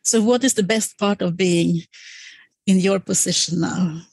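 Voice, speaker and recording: A woman's voice: on the low side, unhurried, darkish tone, slightly rough, no room sound, rough recording. A woman hosting a podcast, speaking in a conversational interviewing style. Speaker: podcast host.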